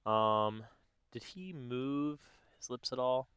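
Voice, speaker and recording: A man narrating a casual step-by-step software tutorial, explaining informally and thinking aloud as he goes. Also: medium pitch, slow, smooth, no echo. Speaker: tutorial host.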